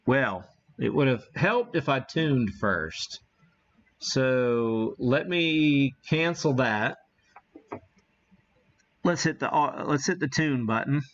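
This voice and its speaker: A man hosting a casual, unscripted live radio-hobby demo, thinking aloud in a halting way as he works the equipment. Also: mid-range voice, expressive, a bit bright, highs cut off. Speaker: livestream host, amateur radio operator.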